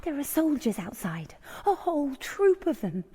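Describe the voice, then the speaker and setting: An actress playing a bold, cunning young kitchen maid in a horror audio drama, brisk, commanding and needling. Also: female voice, high voice, sing-song, dark tone. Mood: urgent, commanding. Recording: long echoing hall reverb.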